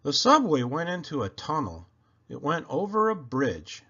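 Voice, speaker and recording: A man reading a children's book aloud with expressive, emphatic delivery. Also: fairly high pitch, moderate pace, breathy edge, highs cut off. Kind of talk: animated storybook read-aloud narration.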